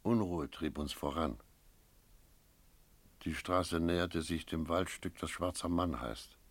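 A sober investigator narrates a radio drama. The voice is extremely deep, with grave, deliberate phrasing and a wary, restrained manner. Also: male voice, dry recording. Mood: sober, tense. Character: sober, wary male investigator recounting a night search. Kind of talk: first-person radio play narration with dialogue.

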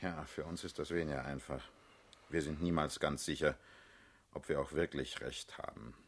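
A man's voice: rumbling low, fairly even intonation, normal speed. An actor in a radio play, playing a middle-aged political leader who is fatherly, self-assured and mocking, with a persuasive, commanding manner. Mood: amused, mocking. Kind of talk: German radio play acting.

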